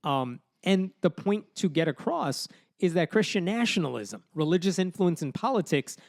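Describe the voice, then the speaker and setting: A man's voice: sits high, expressive, moderate pace, creaky, a little mellow, no echo, clean studio sound. A man co-hosting a podcast, walking through poll numbers and arguing his points in casual chat. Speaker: podcast host.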